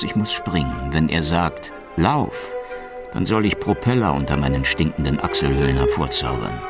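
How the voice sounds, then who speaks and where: An actor playing a cynical, world-weary Soviet secret police detective in a noir radio drama, deadpan and sardonic, with a gravelly voice. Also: male voice, extremely low pitch, heavily acted. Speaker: narrator and lead detective. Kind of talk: noir radio drama, first-person narration. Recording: music behind the voice.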